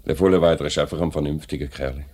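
Low male voice, Swiss German accent, measured company director, businesslike, courteous, 1950s radio drama. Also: steady tone, noisy background. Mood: polite, firm. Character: measured, fair-minded male factory director.

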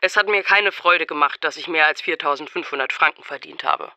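A man's voice: a little high, fairly quick, sharp tone, clear, resonant tone, no room sound, muffled recording. A man reading a scripted, diary-like first-person narration close to the microphone. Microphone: close, dry microphone.

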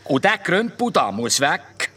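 Husky, terse Swiss German caretaker. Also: male voice, fairly high pitch, big pitch swings, measured pace, bright, forceful tone, exaggerated voice. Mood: evasive, gruff. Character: male museum caretaker, startled and evasive, plain-spoken. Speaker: caretaker in a radio play. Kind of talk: children's detective radio play acting.

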